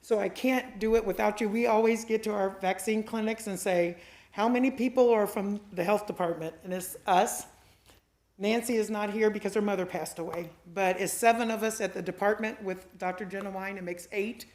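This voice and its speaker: A woman's voice: mid-range voice, fairly even intonation, normal speed, a little mellow, echoey room. A woman with a Midwestern American accent, heading a health department, giving a heartfelt speech of thanks at a city council meeting. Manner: heartfelt, listing people to thank.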